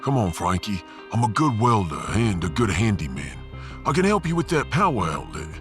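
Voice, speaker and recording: A man voicing a rough-hewn, remorseful handyman, halting and plain-spoken, in a sci-fi audiobook. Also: on the low side, very animated, fairly bright tone. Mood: awkward, pleading. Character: big, remorseful, rough-hewn Australian refugee handyman, former miner. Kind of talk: sci-fi audiobook character acting. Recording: music behind the voice.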